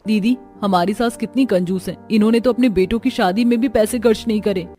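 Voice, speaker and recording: A woman's voice: medium pitch, fairly quick, mellow, close to an everyday voice. A woman voicing an outspoken, indignant young daughter-in-law from a poor family in a cartoon story. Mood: complaining. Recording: music under the voice.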